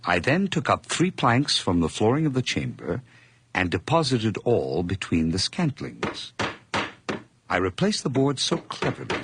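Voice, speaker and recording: An obsessive murderer narrating a radio play in a very deep, gravelly voice. His pitch swings widely as he moves between whispers and feverish outbursts. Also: noisy background, heavily acted. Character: nervous, obsessive man confessing a murder.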